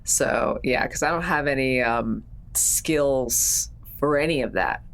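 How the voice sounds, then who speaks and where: A woman's voice: rumbling low, slow pace. A woman chatting casually as a tabletop game player, somewhat hesitant in her delivery. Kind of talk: casual chat.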